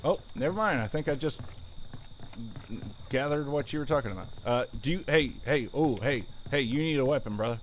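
Man in his 30s, mid-pitched, streaming a game, rambling and self-deprecating, faint hiss behind him. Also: lively intonation, brisk. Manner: rambling, self-mocking, talks while playing. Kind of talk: casual gaming livestream chat.

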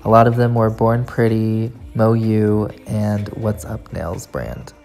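A woman's voice: rumbling low, takes it slowly, gravelly, mellow. Brief: enthusiastic woman, casual haul vlogger, gushing delivery, faint background music. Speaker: nail art YouTuber. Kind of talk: casual shopping haul vlog. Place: nail supply store, then home desk, indoors.

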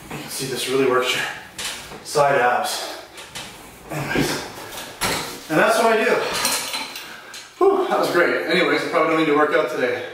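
A man's voice: fairly high pitch, lively intonation, moderate pace, long pauses, background noise. Man talking from across an echoey home gym. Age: 40s. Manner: talks while exercising, casual and a bit breathless. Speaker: fitness vlogger. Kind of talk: casual workout demonstration. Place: small home gym, indoors. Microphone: camera mic from across the room. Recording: echoey room, distant camera mic.

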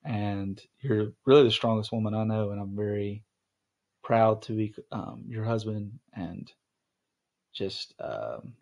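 A man's voice: on the low side, animated, slow, creaky, darkish tone. Rambling young man podcast host. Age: young adult. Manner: rambling, many ums and restarts. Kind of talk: casual chat with his wife.

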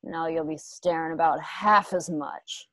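A woman's voice: deep voice, fairly even intonation, a little slow, a bit bright, no room sound. An actress playing a vengeful, scarred gunslinger woman in a Western radio play, with a folksy, profane, menacing manner. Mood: sadistic, mocking. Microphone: home video call.

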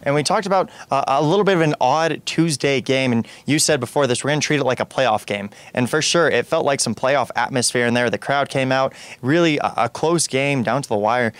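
A man's voice: mid-range voice, brisk, hardly any pauses, smooth, no echo. Young male sports reporter, dry close sound. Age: young adult. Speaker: athletics reporter, interviewer. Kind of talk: postgame sports interview. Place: indoors in front of a media backdrop. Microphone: handheld interview microphone.

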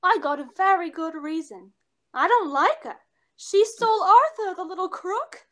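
A woman's voice: very high-pitched, lively intonation, moderate pace, fairly bright tone, a little hiss or hum. A young woman playing a brash, lovesick chorus girl with slangy, melodramatic tough talk in 1940s radio comedy style. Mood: lovesick, pleading. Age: young adult. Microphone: seated before camera on video call.

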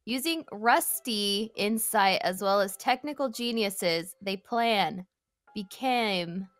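A woman's voice: mid-range voice, slow, no room sound. A woman chatting casually and reading silly text aloud during an online group game. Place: online voice call.

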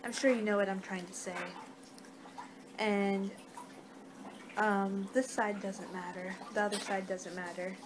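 A young woman doing an ASMR card-reading role-play with a halting, unhurried delivery. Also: mid-range voice, little pitch movement. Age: young adult. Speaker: ASMR performer in a fortune-teller role-play. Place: small home room, indoors. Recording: noisy amateur webcam-style room recording.

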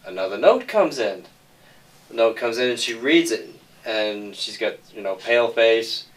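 A man's voice: medium pitch, lively intonation, moderate pace. A middle-aged man explaining a film plot in conversation as its screenwriter. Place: indoors on a sofa, group interview. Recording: distant mic with background noise.

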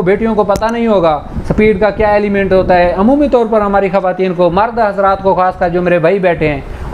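A man's voice: sits high, slight background noise. A man from Pakistan with a Pakistani accent gives an informal lecture as a law teacher, explaining conversationally with examples. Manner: conversational, switches between Urdu and slowly read English.

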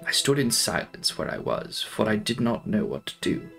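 Youngish guy reading a gothic audiobook, lowish voice, dramatic n deliberate, bit of music behind. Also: sing-song, gravelly, fairly bright tone. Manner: theatrical, deliberate, formal. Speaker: audiobook narrator.

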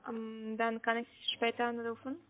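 A woman's voice: fairly high pitch, monotone, normal speed, no room sound. A woman call center agent making a sales phone call in German as a non-native speaker. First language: not German. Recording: muffled narrow phone line.

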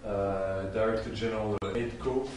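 A middle-aged man, speaking as an official on a panel in a hesitant, measured way. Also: fairly low pitch, steady tone. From Belgium. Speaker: European Commission official on a panel. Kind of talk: self-introduction and questions on a panel. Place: small seminar room with audience. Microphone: distant camera microphone. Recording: very echoey room, far mic, faint noise.

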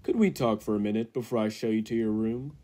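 A man playing an earnest, persuasive laboratory assistant in 1940s radio style, with a husky voice. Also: on the low side, fast, dark tone, lightly acted. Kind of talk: 1940s radio drama acting.

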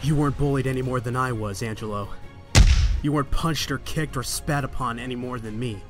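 A man's voice: medium pitch, brisk, natural voice. A man playing a young, quippy but earnest superhero in a comic dub. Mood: urgent, reproachful. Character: young male superhero, wisecracking, earnest and moral. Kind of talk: comic dub acting with narration. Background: music.

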